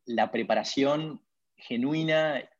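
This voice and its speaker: A man's voice: medium pitch, slow. Argentine man, dull lo-fi recording. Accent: Argentine Spanish. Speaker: podcast guest, lawyer. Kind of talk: conversational interview answers. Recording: dull lo-fi audio.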